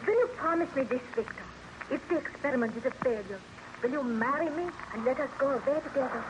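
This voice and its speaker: An actress playing a frightened, devoted young fiancée in theatrical old-time radio melodrama, on a noisy recording. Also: female voice, high voice, expressive, fast talker. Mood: pleading. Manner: theatrical, imploring.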